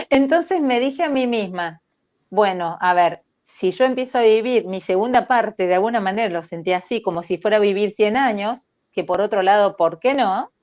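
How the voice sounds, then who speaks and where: A woman, telling her story as a podcast guest in a reflective, measured way that livens up when she quotes herself. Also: on the high side, darkish tone. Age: 60s. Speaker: spiritual therapist guest.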